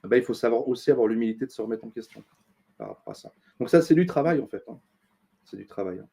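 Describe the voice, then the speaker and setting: Mellow-voiced man giving advice. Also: mid-range voice, expressive, fast talker, creaky, husky. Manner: thoughtful, many fillers and restarts, earnest advice-giving. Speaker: interview guest, business consultant. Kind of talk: casual interview talk.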